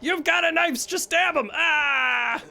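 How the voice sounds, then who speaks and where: A man streaming a horror game live, chatting casually and yelling excitedly at the action. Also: extremely high pitch, slow pace. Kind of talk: live gameplay commentary.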